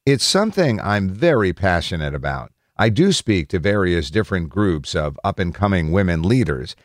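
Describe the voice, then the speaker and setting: A man with a deep voice narrates written news articles. His intonation moves around in a lively way as he reads the script. The sound is dry, with no room around it. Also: no room sound.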